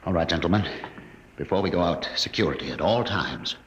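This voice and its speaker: A man's voice: deep voice, lively intonation, brisk, highs cut off. An actor playing a wary, commanding male spaceship captain with an American accent, terse and authoritative, in a 1950s radio drama. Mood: brisk authority. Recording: very noisy old radio recording.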